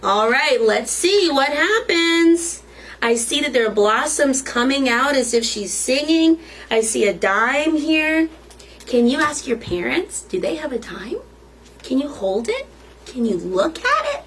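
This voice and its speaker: A woman's voice: high voice, sing-song, a little slow, a bit bright, a little hiss or hum. A woman reading a children's picture book aloud as a storytime reader, expressively and with questions to the listeners.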